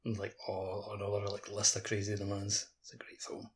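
A man chatting casually as a podcast co-host in a halting, informal way. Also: lowish voice, monotone. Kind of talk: casual chat.

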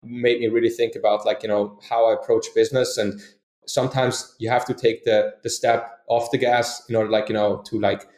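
A man's voice: lowish voice, little pitch movement, fairly quick, a little mellow, echoey room. A Swiss man, a company co-founder, answering podcast interview questions in a rambling way, full of fillers and restarts. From Switzerland.

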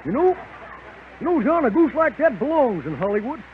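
A man's voice: very high-pitched, very animated, normal speed, slight background noise. A man voicing a dim, good-natured cartoon dog, folksy and bumbling, in old radio comedy style. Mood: eager. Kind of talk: 1930s radio comedy acting. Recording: dull old radio recording, music behind.

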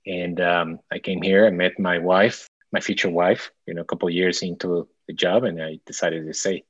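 Podcast guest: deep-voiced man from Mexico, forties, halting, dull dry recording. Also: little pitch movement, no echo. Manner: hesitant, many restarts and fillers. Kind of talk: casual interview chat.

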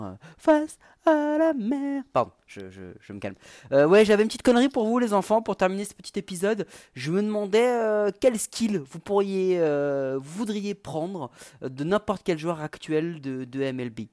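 Higher-voiced jokey man, dry sound. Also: very animated, darkish tone, dry recording. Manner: jokey, slangy, halting. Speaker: podcast co-host. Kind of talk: casual comic monologue.

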